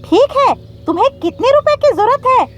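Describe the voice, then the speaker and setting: A woman voicing a bossy, stingy, suspicious male crow who scolds and demands in a shrill cartoon voice. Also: lively intonation, normal speed, faint music behind. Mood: suspicious, stern. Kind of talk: cartoon story character voice.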